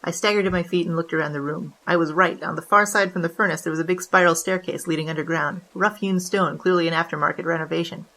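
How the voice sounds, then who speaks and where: A wry hardboiled bandit voiced by a woman tells a noir tale at a rapid clip. The voice sits a little low, deadpan and slangy, in radio-play narration.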